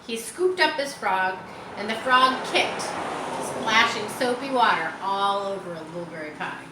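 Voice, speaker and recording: Slow, expressive woman reading aloud. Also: mid-range voice. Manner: expressive, explains asides to young listeners. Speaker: storybook reader. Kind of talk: children's read-aloud narration. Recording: roomy echo, faint background noise.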